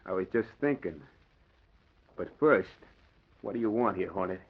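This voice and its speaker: Low-voiced 1940s gangster henchman. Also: lively intonation, moderate pace, slightly rough, exaggerated voice. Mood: guarded. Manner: tough slangy mobster talk. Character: wary small-time 1940s gangster henchman. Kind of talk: 1940s radio crime drama acting. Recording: muffled, noisy old radio recording.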